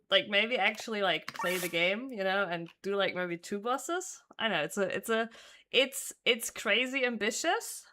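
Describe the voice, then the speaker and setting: Slow-paced woman chatting on a game stream. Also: on the high side, slight background noise. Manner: rambling, thinking out loud. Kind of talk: casual livestream chat while playing. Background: game music.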